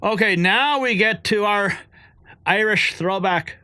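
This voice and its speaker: A man's voice: high-pitched, takes it slowly, sharp tone, clean tone, dry recording. Chatty male livestream host, dry sound. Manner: chatty, reads comments aloud, laughs often. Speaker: news show host. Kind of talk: casual live commentary reading viewer chat.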